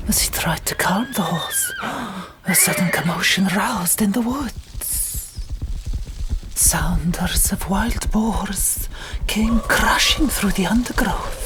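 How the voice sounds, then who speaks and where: A woman narrating an audiobook in a whispered ASMR style, with deliberate, dramatic storytelling. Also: medium pitch.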